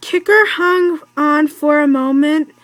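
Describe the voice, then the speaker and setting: A young girl reading a children's storybook aloud in a halting way, stumbling over words and correcting herself. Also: high voice, fairly bright tone, no room sound. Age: child or teen, in school. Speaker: read-aloud narrator.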